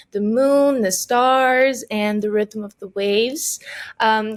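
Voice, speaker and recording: Higher-pitched woman in an interview. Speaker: actress being interviewed about her role. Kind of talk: conversational interview answers.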